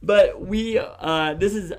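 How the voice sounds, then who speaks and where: A man's voice: high-pitched, slow pace. A man co-hosting a casual podcast, joking and laughing as he talks. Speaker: co-host.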